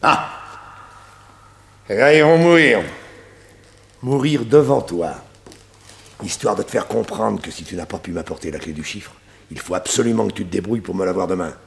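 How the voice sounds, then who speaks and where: An actor playing a sadistic, vengeful gang boss who sneers with mock politeness in a detective radio serial. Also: male voice, lowish voice, animated, moderate pace, vocal fry, long pauses. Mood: menacing.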